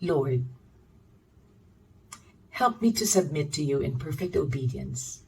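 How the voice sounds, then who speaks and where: A woman reading a scripted devotional in a measured, reflective manner, with deliberate pauses. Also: deep voice, animated, a little mellow, far from the mic, a little hiss or hum. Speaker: devotional reader.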